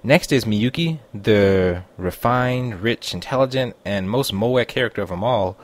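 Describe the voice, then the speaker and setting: A man's voice: fairly low pitch, a little slow. A man reading a scripted review as an online critic, in voice-over. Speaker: anime reviewer.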